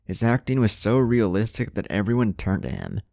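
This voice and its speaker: A man's voice: on the low side, big pitch swings, normal speed, gravelly, a little mellow, no echo, studio quality. A man reading a scripted story as a narrator, with dramatic delivery. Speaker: narrator of a fan fiction story.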